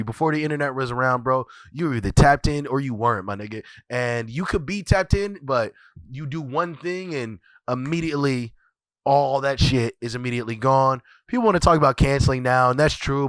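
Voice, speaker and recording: A man with a stuffy, scratchy voice from a cold hosts his podcast. He rambles and repeats himself, swearing freely, at a middling pitch. The sound is close and dry. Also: no room sound. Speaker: podcast host. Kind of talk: casual profane solo commentary.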